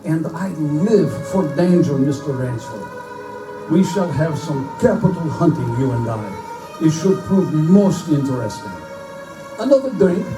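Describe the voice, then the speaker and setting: A man acts out a proud Russian nobleman and general who lives for the hunt. His delivery is courtly, boastful and theatrical, in a somewhat high male voice. It is a live pulp drama heard in a reverberant room with clear music underneath. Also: expressive, a little slow, far from the mic. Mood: boastful, menacing. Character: suave, aristocratic Russian general, cold obsessive big-game hunter. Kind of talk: live dramatized pulp-fiction stage reading. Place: bookstore live performance before microphone.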